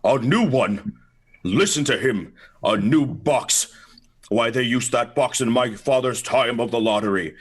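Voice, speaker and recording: A man playing a halting, complaining man in his seventies who clings to old traditions in a radio play. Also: medium pitch, expressive, slightly rough. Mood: indignant. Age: 70s.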